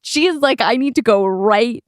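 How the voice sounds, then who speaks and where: A woman chats casually as a podcast participant. Her voice sits a bit high, her phrasing is informal, and the recording is dry and close. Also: lively intonation, normal speed, no echo. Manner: informal, uses "like" often. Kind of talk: casual chat.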